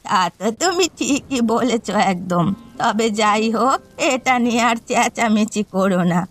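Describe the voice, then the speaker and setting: A woman voicing an indulgent, gently chiding elderly village mother-in-law in a cartoon, with music behind her. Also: on the high side, animated, unhurried. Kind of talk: cartoon dialogue acting. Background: background music.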